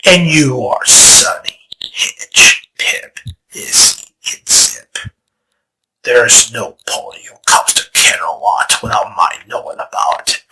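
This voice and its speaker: Man playing a chaos lord, theatrical, bright tone, lively swoops. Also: medium pitch, slight background noise, heavily acted, rough recording. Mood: showy. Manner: theatrical, showy storyteller. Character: grandiose old male draconequus lord of chaos. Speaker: fan voice actor. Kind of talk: comic fan dub acting.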